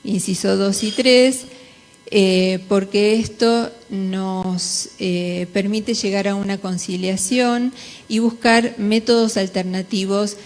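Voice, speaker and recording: Slow-paced Argentine woman in a reverberant hall. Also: mid-range voice, fairly even intonation. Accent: Argentine Spanish. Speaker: conference speaker, jurist. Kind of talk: conference presentation. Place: large conference hall.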